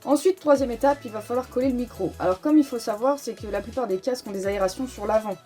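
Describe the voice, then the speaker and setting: A woman's voice: fairly high pitch, fast talker, warm tone. A woman hosting a casual, informal step-by-step tutorial, explaining as she goes and announcing each step. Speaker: host of a motorcycle gear tutorial. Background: music.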